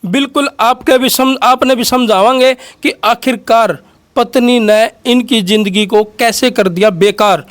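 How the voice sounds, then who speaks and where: A man's voice: very high-pitched, unhurried. A man with a Haryanvi accent working as an interviewer, questioning his guest and explaining things to viewers in a conversational way. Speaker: interviewer and host.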